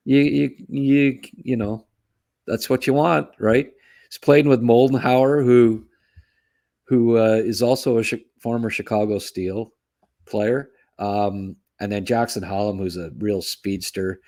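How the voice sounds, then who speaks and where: A man's voice: medium pitch, fairly even intonation, measured pace, warm tone. A man co-hosting a sports podcast, giving casual, chatty hockey analysis and often tagging remarks with "eh". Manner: chatty, tags remarks with "eh", chuckles at own asides.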